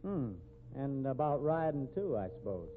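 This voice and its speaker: An actor playing a cocky, evasive rodeo cowboy in a 1950s radio drama, with American accent and folksy Western slang. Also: male voice, medium pitch, big pitch swings, normal speed, phone-quality sound, lightly acted. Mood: cocky, assured. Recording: thin, noisy vintage radio recording.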